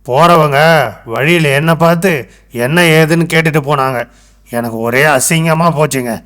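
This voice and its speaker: A man voicing an earnest, grumbling village man in an animated moral story. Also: fairly high pitch, normal speed, lightly acted. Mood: aggrieved. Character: embarrassed, grumbling village man worried about local honour.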